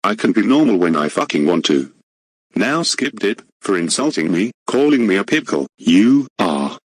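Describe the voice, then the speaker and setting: A man voicing a hot-tempered, rich boss who swears and shouts indignantly in amateur voice acting. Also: on the low side, big pitch swings, moderate pace, husky, no echo. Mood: furious.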